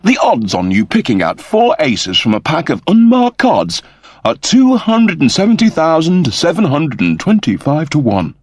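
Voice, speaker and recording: A man's voice: fairly high pitch, huge pitch swings, normal speed, no echo, clean studio sound, pitched above the performer's own voice. A man voicing a fussy, earnest service android with a precise, formal, explanatory manner. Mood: excited.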